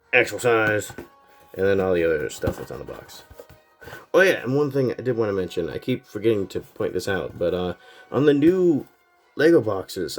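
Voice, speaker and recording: A man casually reviewing a toy set, sounding congested and a little nasal from a cold, rambling with plenty of fillers. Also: low-pitched. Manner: rambling, relaxed, lots of fillers.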